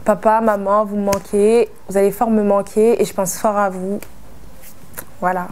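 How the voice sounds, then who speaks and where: A twenty-year-old woman from France speaks alone to the camera in a reality-show confessional. She is hesitant and fills her pauses. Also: mid-range voice, level tone, darkish tone, a little hiss or hum. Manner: hesitant, many fillers.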